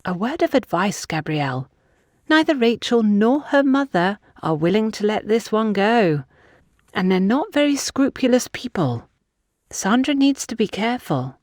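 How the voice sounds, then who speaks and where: Audiobook role: insistent older female gossip played by a woman narrator, raised pitch, emphatic, confiding, dry sound. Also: big pitch swings, unhurried, darkish tone, no echo. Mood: grave warning. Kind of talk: audiobook character dialogue.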